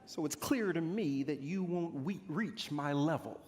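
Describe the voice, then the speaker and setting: A man performing a theatrical stage monologue as a proud, bitter army commander, pompous and declamatory, with dramatic pauses. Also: a little high, a little mellow, lightly acted. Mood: taunting. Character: proud, boastful male army commander of ancient Israel's era, bitter. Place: large church hall stage. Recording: echoey hall with long reverb.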